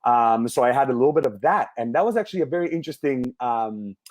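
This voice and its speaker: A man's voice: medium pitch, lively intonation, fast, darkish tone. A man, a guest singer telling his life story in a casual, candid, rambling interview. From Israel. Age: 30s.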